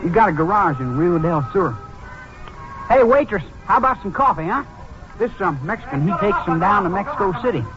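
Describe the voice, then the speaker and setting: An actor playing an eager, boastful young American car thief in a slangy, cocky manner, in a 1950s radio drama. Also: male voice, high-pitched, big pitch swings, a little fast, over music. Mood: boastful, chummy. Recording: muffled vintage 1950s radio recording.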